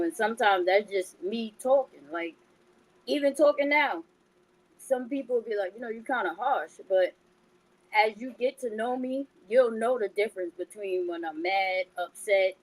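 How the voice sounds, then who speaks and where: A woman's voice: normal speed, rough recording. Blunt woman with a baby voice. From New York. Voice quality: high, childlike voice. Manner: blunt, forceful way of talking. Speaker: podcast co-host. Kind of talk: casual chat.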